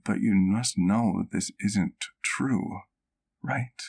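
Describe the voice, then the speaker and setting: A man playing a gentle, protective vampire doctor in an ASMR roleplay, speaking in a soft, measured, careful way. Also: lowish voice, gravelly, exaggerated voice. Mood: concerned, troubled.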